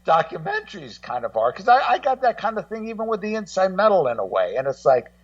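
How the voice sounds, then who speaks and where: A man in casual radio conversation, rambling and halting as he repeats words and acts out what other people say. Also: high-pitched, huge pitch swings, no room sound. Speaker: guest co-host and music documentary maker. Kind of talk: casual radio talk, telling anecdotes. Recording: muffled, slightly distant voice feed.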